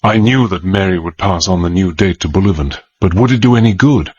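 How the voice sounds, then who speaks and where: An actor playing a gentleman adventurer who recounts a dangerous undercover exploit in a grave, confiding manner, as dramatized first-person narration. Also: low voice, brisk, husky, highs cut off.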